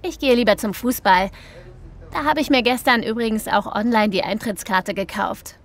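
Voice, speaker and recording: Actress as informal younger female interviewee, raised register, relaxed chatty answers, scripted learner audio. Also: fairly high pitch, moderate pace, natural voice. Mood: easygoing. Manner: casual, chatty. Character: easygoing, informal younger woman answering a street survey. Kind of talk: scripted language-lesson dialogue.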